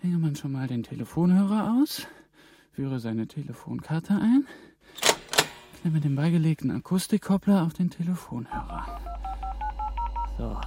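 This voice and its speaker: A man's voice: a little high, lively intonation, unhurried. A young actor playing a hunted male intern in a radio play, muttering anxious thoughts to himself. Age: young adult.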